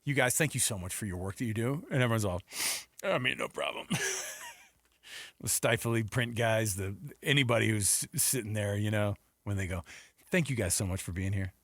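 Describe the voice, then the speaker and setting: A man's voice: lowish voice, moderate pace, fairly bright tone, dry recording, studio quality. A middle-aged man hosting casual sports talk radio, telling stories in a jokey way and acting out mock quotes.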